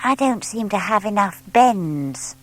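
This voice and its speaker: A woman's voice: mid-range voice, animated, slow, pitched above the performer's own voice. A woman voicing a small young male barn owl, proud, eager and blunt, in a children's audiobook. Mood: earnest. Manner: childlike, hesitant when flustered, blunt.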